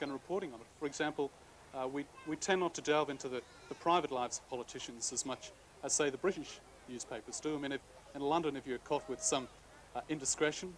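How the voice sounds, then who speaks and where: Fast-talking Irish man, hesitant. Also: medium pitch, a little hiss or hum. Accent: Irish, softened by years in Australia. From Ireland. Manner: hesitant, many fillers and restarts. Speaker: political journalist. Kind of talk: interview answers.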